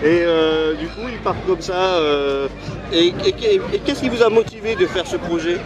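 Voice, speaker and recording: A man's voice: high-pitched, expressive, moderate pace. Eager male travel host on a noisy street. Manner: hesitant, many fillers and restarts; enthusiastic, laughs often. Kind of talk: casual street interview. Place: busy city street outdoors. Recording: loud street crowd noise. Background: crowd and traffic.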